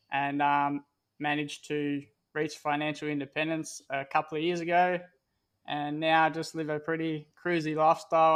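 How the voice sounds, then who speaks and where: Flat-toned laid-back Australian man. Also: fairly high pitch. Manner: relaxed, rambling, many fillers and restarts. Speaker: podcast guest. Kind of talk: casual interview answers.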